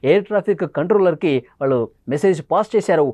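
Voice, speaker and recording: High-pitched, fast-talking man presenting news. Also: a little mellow, dry recording. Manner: emphatic, repeats phrases for stress. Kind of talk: dramatic news commentary.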